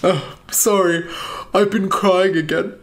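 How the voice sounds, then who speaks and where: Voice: medium pitch, fairly even intonation, slow, voice pushed up. Snively, a teary, volatile dragon done by a narrator. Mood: teary gushing. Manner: swings between menacing and teary gushing. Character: emotional male dragon outlaw, volatile and soft-hearted. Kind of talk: storybook reading.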